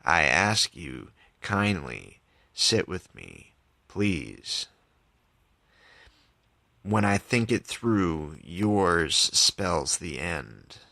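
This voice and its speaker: A man hosting his own poetry podcast, reading his poems and talking about them in an informal, candid, halting way. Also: sits low, vocal fry, bright, forceful tone. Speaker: podcast host and poet.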